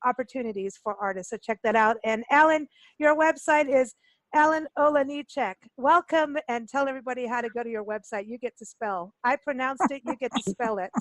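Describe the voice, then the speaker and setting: Woman, radio host, high voice, effusive, chatty interviewing, dry close sound. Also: brisk, no echo, rough recording. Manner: effusive, repeats words for emphasis. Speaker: radio show co-host and travel publisher.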